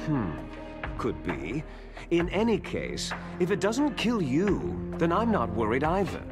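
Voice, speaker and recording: Slow, swooping, theatrical villain. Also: male voice, a little high, wildly swooping pitch. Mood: coolly explaining. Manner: theatrical, smug, drawn-out menacing delivery. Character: bitter, taunting villain, soldier twin brother of the hero. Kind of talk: dramatic video game cutscene acting. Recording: loud game music behind the voice.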